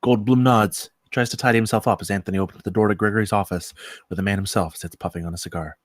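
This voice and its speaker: A man's voice: lowish voice, fairly even intonation, quick pace, dry recording, clean studio sound. A man reading stage directions as scripted narration at a comedy screenplay table read.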